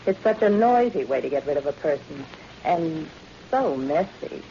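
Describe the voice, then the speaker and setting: Cool femme fatale villain, amused n disdainful, dull old radio sound. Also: female voice, low voice, expressive, moderate pace, warm tone. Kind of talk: 1940s radio acting. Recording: muffled, noisy old-time radio recording.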